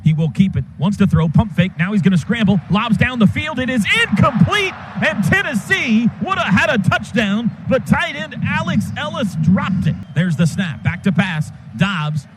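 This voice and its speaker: A man doing live play-by-play radio commentary of a football game from a stadium booth, in rapid clipped calls that surge on big plays. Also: high-pitched, very animated. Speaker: play-by-play announcer. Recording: noisy live stadium broadcast.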